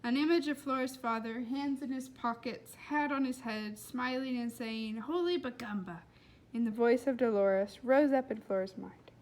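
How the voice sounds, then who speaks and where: A woman's voice: a little high, normal speed, few pauses, darkish tone, poor audio. A woman hosting a children's read-aloud, telling the story expressively.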